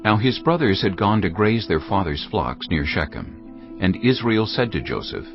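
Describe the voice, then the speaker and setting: Deep-voiced, expressive male scripture narrator. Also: normal speed. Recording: dull audio over background music.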